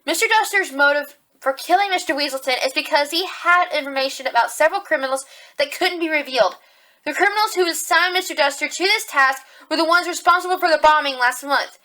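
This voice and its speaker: A woman's voice: high voice, bright, forceful tone. A woman acting a determined young rabbit police officer, arguing assertively in a courtroom audio drama. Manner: assertive, deliberate, argumentative.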